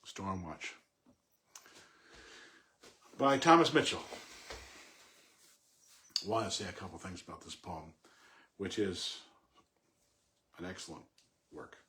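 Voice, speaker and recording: Guy with a lowish voice doing poetry reading and commentary, kinda thoughtful and informal. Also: expressive, pushed and bright. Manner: halting, thinking aloud with self-corrections. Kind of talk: poem recitation and informal unscripted commentary.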